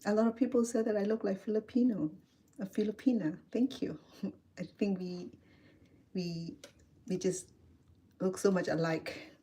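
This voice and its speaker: A woman's voice: medium pitch, steady tone, moderate pace, pauses often, warm tone, husky. A middle-aged woman from Cambodia with a Cambodian accent, chatting casually with livestream viewers while cooking in her home kitchen. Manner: chatty, answers viewer comments, laughs often.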